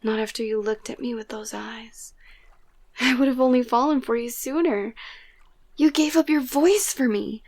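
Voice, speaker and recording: A woman playing a tender, excitable young princess in love in a romantic ASMR roleplay, talking haltingly and often slipping into a whisper. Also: fairly high pitch. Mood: loving.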